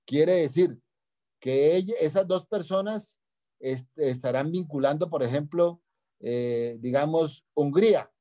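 Male presenter, mellow and fairly high-voiced, didactic, addressing an online meeting. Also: a little slow. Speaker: project presenter and meeting host. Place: indoors, video call.

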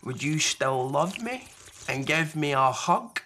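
A man's voice: a little high, lively intonation, slow pace, pushed and bright, voice pushed up, full character voice. A man voicing a small young storybook fox who is grumpy, needy and full of plaintive questions. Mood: anxious, questioning.

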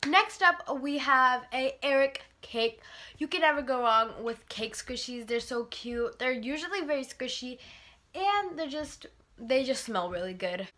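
A teenage girl with a bright, fairly high voice chats through a casual product review in her bedroom. She sounds congested from a cold and rambles on with plenty of fillers, talking into the camera's own mic. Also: expressive, a little slow. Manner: chatty, rambling, many fillers. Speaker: vlogger. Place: bedroom, indoors.

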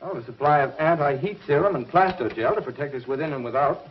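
Actor as authoritative 1950s space scientist, muffled soundtrack. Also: male voice, on the high side, lively intonation, quick pace, natural voice. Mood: genial. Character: authoritative, patient middle-aged male space scientist. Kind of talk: 1950s TV serial acting. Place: studio laboratory set. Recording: dull, noisy 1950s TV soundtrack.

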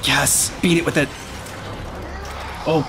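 A man's voice: a little high, steady tone, takes it slowly, gravelly. A man in his forties giving casual reaction commentary as a channel host while game audio plays. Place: living room couch, indoors. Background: game cutscene audio with music.

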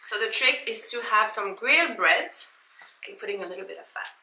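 A woman's voice: mid-range voice, animated, brisk, pushed and bright, far from the mic, a little hiss or hum. A woman explaining, step by step, how she is preparing a snack in a home kitchen. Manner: explains step by step, light laughs. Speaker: houseguest cooking. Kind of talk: casual kitchen demonstration. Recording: echoey kitchen from across the room.

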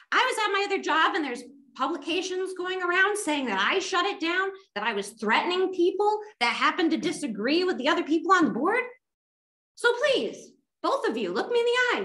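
A woman's voice: very high-pitched, big pitch swings, a little fast, a bit bright. A woman at a town board meeting, upset and pressing board members with pointed questions. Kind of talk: heated public comment. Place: town hall meeting room. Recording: echoey meeting room.

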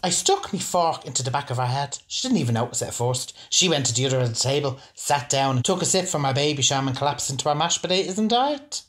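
An actor playing a mild, matter-of-fact Irish male ex-prisoner, earnest and deadpan, in a comedy radio drama. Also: mid-range voice, fast, few pauses, natural voice. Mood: deadpan, calm.

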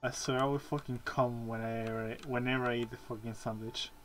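A man's voice: mid-range voice, level tone, brisk, a bit bright, slight background noise. A man with a Chilean accent who jokes crudely, laughs often and repeats words in casual chat.

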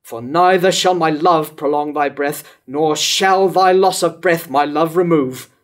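Tragic stage king played by an older man: wrathful and lovesick, declamatory, very slow delivery, higher-set voice. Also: lightly acted. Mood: tormented, reproachful. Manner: declamatory, deliberate, dramatic pauses. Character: jealous, tormented king Herod, wrathful and lovesick. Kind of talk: staged reading of Jacobean verse tragedy. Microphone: microphone on stand at lectern.

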